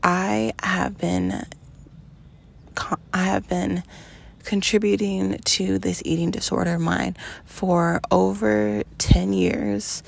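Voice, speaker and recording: A woman in her twenties hosting a podcast, talking in an earnest, reflective monologue with slow pauses and fillers. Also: medium pitch, little pitch movement, vocal fry, breathy edge. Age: 20s. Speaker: podcast host.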